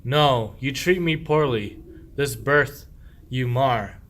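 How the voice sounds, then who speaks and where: A man acts a sly sheep thief in an old verse radio play. He speaks slowly, in archaic rhyme, putting on the airs of an innocent, hospitable husband. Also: medium pitch, fairly bright tone. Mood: defensive.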